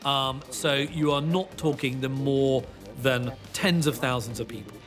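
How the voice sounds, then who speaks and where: A man's voice: mid-range voice, unhurried, over music. A man explaining facts as a documentary interviewee.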